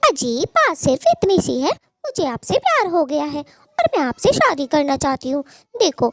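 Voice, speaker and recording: A spiteful cartoon bird wife played by a woman with a very high, swooping voice. She is quick-tempered and scheming, scolding with dramatic flair in a close, dry recording. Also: no echo. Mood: coaxing. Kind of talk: Hindi cartoon story dialogue.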